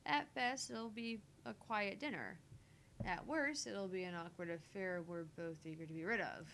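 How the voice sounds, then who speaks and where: A woman with a slightly low voice reads a visual novel on stream. She narrates in the first person and drops into casual asides, over faint noise and rumble. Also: a bit bright.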